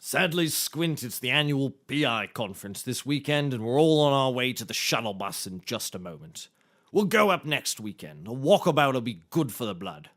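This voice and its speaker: An actor playing a sensible, articulate private eye with a dry, teasing, wordy way of talking. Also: male voice, medium pitch, very animated, moderate pace, fairly bright tone. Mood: wry. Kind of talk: comedic mock-noir dialogue.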